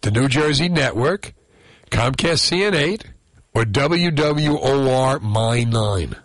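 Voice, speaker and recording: A man's voice: mid-range voice, lively intonation, takes it slowly, dry recording. A man hosting a call-in radio trivia game, bantering and teasing callers. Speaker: radio host. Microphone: studio microphone.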